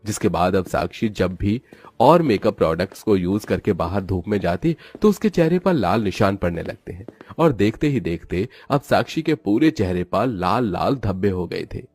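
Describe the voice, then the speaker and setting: A man's voice: on the low side, expressive, moderate pace, a little mellow, breathy edge, no echo. A man narrating a scripted moral story as its storyteller.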